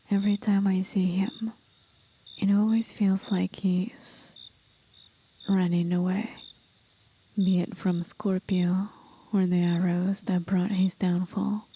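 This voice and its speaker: Slow, whispering, gentle demon guide, played by a woman. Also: medium pitch, a little hiss or hum, rough recording. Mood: musing, wistful. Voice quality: soft, breathy whisper. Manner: slow, contemplative, soothing. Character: gentle, musing demon guide, curious about humans. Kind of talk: whispered ASMR roleplay.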